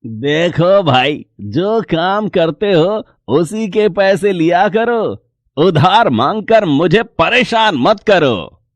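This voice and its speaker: Casting: male actor as wealthy solitary landowner for storybook drama, emphatic, slow delivery, higher male pitch. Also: expressive, no echo. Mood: curt, dismissive. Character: rich lonely male landowner, miserly turned grateful. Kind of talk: acted moral story dialogue.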